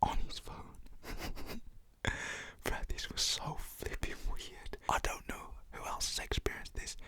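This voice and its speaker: A man telling a story in a hushed, chatty whisper full of fillers. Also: a little slow.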